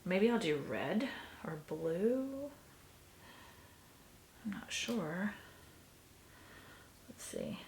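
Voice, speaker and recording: A woman, sniffly, softly talking through a stitching project in a casual tutorial, often trailing into a half-whisper. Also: medium pitch, big pitch swings, drawn-out words, creaky, long pauses, slight background noise. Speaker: crafter showing a stitching project. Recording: echoey room recording.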